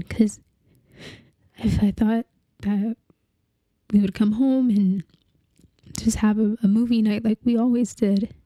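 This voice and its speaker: A young woman playing a grieving partner in a dramatic monologue, with a halting, tearful delivery full of restarts. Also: fairly high pitch, warm tone, no echo.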